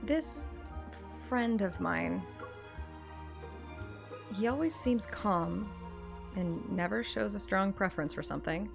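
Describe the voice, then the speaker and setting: A woman's voice: medium pitch, animated, takes it slowly, vocal fry, long pauses. Playful woman streamer over music. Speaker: livestreamer. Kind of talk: casual stream chat and expressive game read-aloud.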